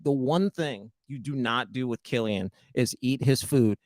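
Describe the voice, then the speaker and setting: A man's voice: medium pitch, measured pace, darkish tone, no room sound, studio quality. A young man co-hosting a comedy podcast, joking around and teasing in casual slang. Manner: playful, teasing, laughs often, says dude and bro. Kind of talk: casual chat.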